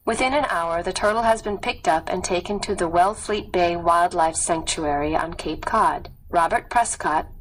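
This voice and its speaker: A woman reading scripted nonfiction as an audiobook narrator, measured and expressive. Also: fairly low pitch, poor audio.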